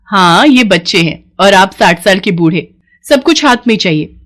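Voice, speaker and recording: A woman's voice: medium pitch, animated, normal speed, lightly acted. Woman playing an emotional, devout village wife. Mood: scolding. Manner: emotional, pleading or sharp-tongued. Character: pious, scolding village wife and mother, later grieving. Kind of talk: dramatized Hindi moral story.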